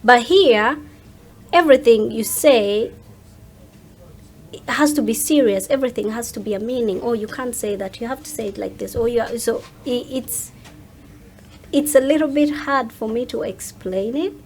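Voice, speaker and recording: Casting: woman with a Ugandan accent and a high voice, conversational interview guest who explains in an animated way. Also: normal speed. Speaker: interview guest, social media content creator. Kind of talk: casual interview chat.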